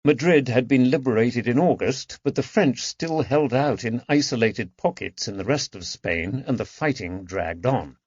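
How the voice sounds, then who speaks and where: A man narrates a historical detective novel in a scripted audiobook style. His pitch sits mid-range for a male voice, and the recording is dry and somewhat dull. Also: normal speed, hardly any pauses, a little mellow, husky, dry recording.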